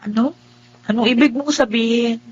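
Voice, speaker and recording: High-voiced sweet blind young wife. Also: female voice, normal speed, slightly rough, dry recording, highs cut off. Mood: defensive. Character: blind married young woman, sweet, playful, resigned. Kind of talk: Filipino radio drama acting.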